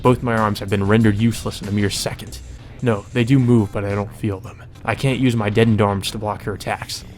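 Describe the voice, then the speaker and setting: A man's voice: fairly low pitch, steady tone, fairly quick, a little mellow, faint music behind. A man reading visual novel narration aloud as a let's play narrator, in a dramatic storytelling manner.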